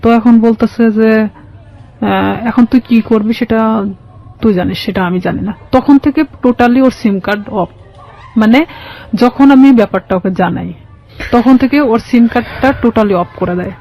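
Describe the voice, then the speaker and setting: A woman's voice: a little high, a little slow, pauses often, muffled recording. A woman with a Bangladeshi accent telling her own life story as an interview guest, colloquially, quoting conversations as she goes. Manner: colloquial, recounts conversations with quoted dialogue. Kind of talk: personal testimony in an interview.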